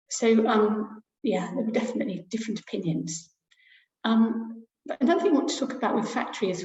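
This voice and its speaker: A woman's voice: mid-range voice, a little mellow. Hesitant woman lecturing on a roomy webinar. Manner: hesitant, many fillers, thinking aloud. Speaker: university lecturer presenting research. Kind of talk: academic webinar talk. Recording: roomy, dull lo-fi webinar audio.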